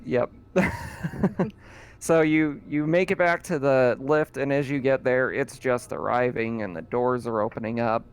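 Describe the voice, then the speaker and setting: A man narrating as game master in a relaxed, chatty way. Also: mid-range voice, measured pace, clean tone.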